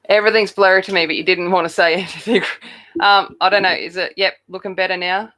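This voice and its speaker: Woman, coloring host, loud voice, bright tone, casual rambling chat, self-deprecating. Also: on the low side, fairly even intonation, fast.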